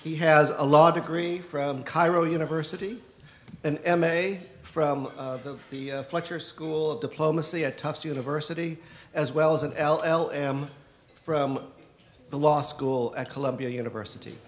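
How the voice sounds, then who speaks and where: A man with a slightly raised pitch moderates a university panel, reading out biographies and stumbling now and then. He moves on to frame broad questions for the guests. Light background noise runs underneath. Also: fairly even intonation, normal speed. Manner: reads bios with some stumbles. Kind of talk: introducing guests and posing questions. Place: university lecture hall.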